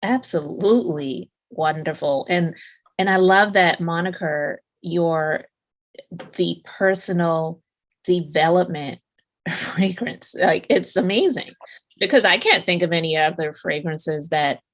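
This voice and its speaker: A woman's voice: lowish voice, slow. A woman hosting a business podcast interview, asking questions and praising her guest effusively. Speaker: podcast host.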